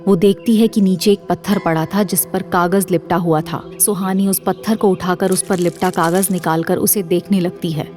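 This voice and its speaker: Lower-voiced woman narrating a drama story. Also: steady tone, normal speed, hardly any pauses, a little mellow, faint music behind.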